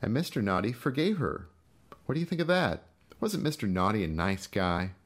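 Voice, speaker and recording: A man's voice: on the low side, very animated, moderate pace, pitched above the performer's own voice. A man voicing a vengeful, sadistic storybook character who taunts his helpless brother with mocking, menacing questions. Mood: taunting, bitter. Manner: mocking, menacing, sing-song rhetorical questions.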